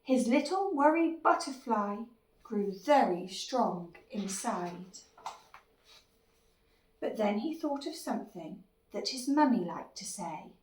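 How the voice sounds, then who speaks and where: Slow, expressive woman reading a storybook. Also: fairly high pitch. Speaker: story reader. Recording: echoey room, distant microphone.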